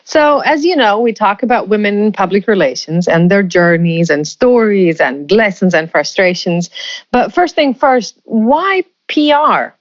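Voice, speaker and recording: A woman hosting an interview podcast, reading a scripted intro and then asking measured questions. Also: mid-range voice, lively intonation, highs cut off. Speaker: podcast host.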